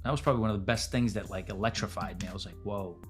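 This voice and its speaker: A man's voice: fairly low pitch, racing, soft background music. A man in his forties telling his business story as a podcast guest, blunt and casual, swearing freely. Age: 40s. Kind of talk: candid storytelling interview.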